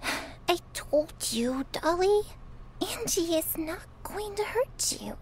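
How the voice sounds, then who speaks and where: A woman's voice: extremely high pitch, animated, takes it slowly, slight background noise, heavily acted. A woman playing a sweet, anxious young caretaker in an ASMR roleplay, speaking in a girlish, coaxing and submissive way.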